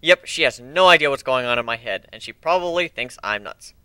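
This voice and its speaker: A man narrating a fan fiction story as an amateur dramatic reading, with expressive delivery. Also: medium pitch, fairly quick, slight background noise.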